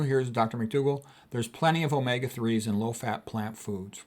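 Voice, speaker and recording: A man lectures on health in an informal, explanatory style. His voice sits at an average male pitch. He teaches as he talks, breaking down technical vocabulary and dropping in conversational asides. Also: darkish tone. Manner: didactic, spells out and defines technical terms, conversational asides. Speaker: doctor presenting a health lecture. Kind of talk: informal explanatory medical lecture, reading quotes and commenting.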